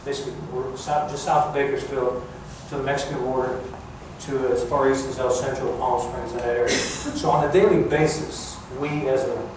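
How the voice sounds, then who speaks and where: An older man gives an informal talk in a big, very echoey room, picked up from far off with clear background noise. His delivery is plain-spoken and halting, at a middling pitch. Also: steady tone. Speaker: guest speaker, former air traffic controller. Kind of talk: informal public talk recounting career. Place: large echoey museum room.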